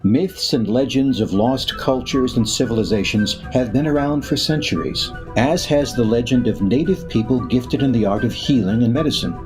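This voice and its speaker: A man narrating a scripted radio drama as announcer, in a measured way with dramatic pauses. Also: medium pitch. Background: music.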